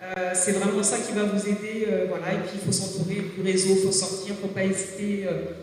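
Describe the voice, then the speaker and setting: A woman in her forties, a native Swahili speaker, giving a halting talk as a career coach, hesitating often and restarting her sentences. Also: medium pitch, level tone, a little mellow, slight background noise. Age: 40s. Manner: hesitant, many filler sounds and restarts. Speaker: business coach speaking at a jobs fair. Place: large gymnasium hall. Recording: echoey gym hall from far off.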